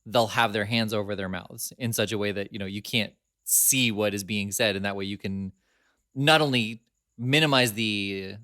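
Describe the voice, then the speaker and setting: A man's voice: on the low side, normal speed, dry recording, studio quality. A man co-hosting a podcast in casual chat, laughing often as he talks. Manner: laughs often, chatty and animated. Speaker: podcast co-host.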